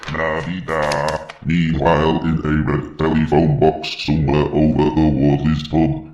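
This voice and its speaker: A pompous robot henchman with an extremely deep voice in heavy echo. He hams up every word in comic audio drama fashion. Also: completely flat delivery, measured pace, a little mellow, dull audio. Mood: mock-dramatic. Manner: hammy, declaiming. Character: small metallic robot henchman, pompous and overacting.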